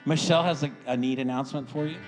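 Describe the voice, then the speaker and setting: A man's voice: fairly high pitch, fairly even intonation, rapid-fire, music in the background. A middle-aged American man speaking as a pastor, encouraging his congregation in an informal, folksy way and often checking in with them. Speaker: church pastor welcoming the congregation. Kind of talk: informal sermon and church announcements.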